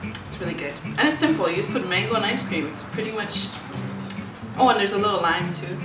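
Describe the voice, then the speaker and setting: A woman's voice: medium pitch, a little fast, over loud music. A young woman making a casual cooking vlog in her home kitchen, talking hesitantly and trailing off, recorded from across the room. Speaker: vlogger. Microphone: camera mic from across the room. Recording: roomy kitchen audio, distant mic.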